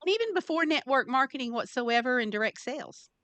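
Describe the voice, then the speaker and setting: A woman's voice: high-pitched, expressive, fast talker, a bit bright, dry recording. A woman hosting an interview show, welcoming her guest with upbeat enthusiasm. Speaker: host. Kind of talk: interview host, promoting sponsor.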